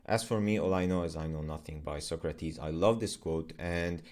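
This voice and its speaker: A young man with a low, deep voice, speaking English as an Arabic native speaker. He talks in a loose, conversational vlog manner, full of fillers and quick self-corrections. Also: darkish tone. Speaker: agency founder vlogger. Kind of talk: casual explainer vlog.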